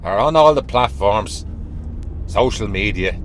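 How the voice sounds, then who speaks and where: Hoarse Dublin man, sore throat. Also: lowish voice, animated, unhurried, a little hiss or hum. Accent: Irish, Dublin. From Ireland. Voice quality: conspiratorial, teasing, repeats phrases. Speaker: channel host. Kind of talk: casual monologue to camera.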